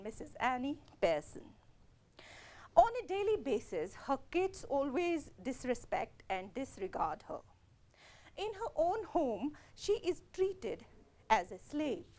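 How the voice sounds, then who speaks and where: A woman lecturer explaining a play to students, speaking in an explanatory way with frequent "uh, you know" fillers, whose first language is Kashmiri. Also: a little high. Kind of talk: recorded literature lecture.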